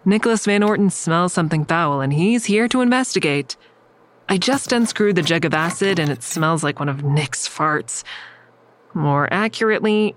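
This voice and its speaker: Actress as a very low-voiced female narrator. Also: close to an everyday voice. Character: observant, wry, secretive woman narrating her own story. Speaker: narrator and lead character. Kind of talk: first-person audio drama narration.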